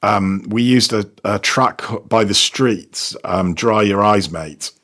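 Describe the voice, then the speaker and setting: A man's voice: fairly low pitch, slow pace, a bit bright. A man being interviewed as a sound designer, talking casually about his work and often repeating words as he searches for phrasing. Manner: hesitant, repeats words while explaining. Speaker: guest, sound designer and technical producer. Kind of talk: casual interview talk about audio production.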